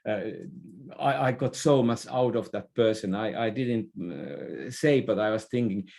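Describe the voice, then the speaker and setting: A man's voice: fairly low pitch, a little slow, creaky, darkish tone. A man speaking as an expert guest in a podcast interview, explaining in a conversational way and repeating words as he thinks.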